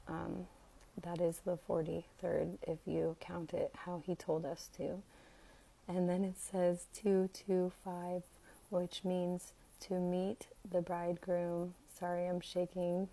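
Very dark, raspy American woman's voice. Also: on the low side, slight background noise, rough recording. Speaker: religious content creator. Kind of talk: casual devotional vlog about scripture numbers.

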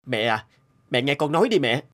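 A man's voice: medium pitch, very animated, a little fast, a bit bright. An actor voicing a devoted, anxious young groom in a cartoon, earnest and pleading as he talks. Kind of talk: cartoon dubbing.

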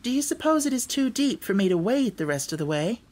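A woman's voice: a little high, sing-song, brisk, darkish tone, voice pushed up. A woman voicing an earnest, outspoken young country girl in a storybook, speaking emphatically. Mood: earnest, friendly. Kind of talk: storybook character voice.

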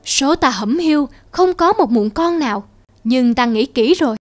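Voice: high voice, expressive, unhurried, soft background music. An actor voicing a weary, aging, childless king in a cartoon fairy tale, solemn and stately.

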